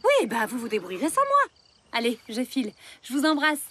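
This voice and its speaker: A woman voicing a busy, practical mother and vet in a dubbed cartoon, talking in a brisk way. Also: sits high, wildly swooping pitch, fairly bright tone, full character voice. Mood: hurried. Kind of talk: cartoon dubbing.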